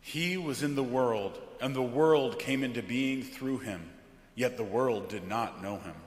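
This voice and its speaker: A man, a pastor, speaking solemnly and deliberately from a church pulpit in a liturgical setting. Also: mid-range voice. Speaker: Lutheran pastor. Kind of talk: liturgical scripture reading. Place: large church interior, from the pulpit. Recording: echoey church with long reverb.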